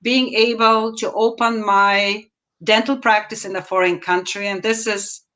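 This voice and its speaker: A woman in her fifties with a Bulgarian accent, speaking on a video call from a room at home as an interview guest and telling her story in a measured, deliberate way with emphatic rises. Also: medium pitch, flat delivery, bright, forceful tone. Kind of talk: interview answers, personal story.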